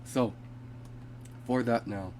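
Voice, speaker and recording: A man's voice: mid-range voice, fairly even intonation, slow pace, background noise. A man who sounds congested from being sick, hosting a casual crypto video and reading from web pages with fillers and restarts. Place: home.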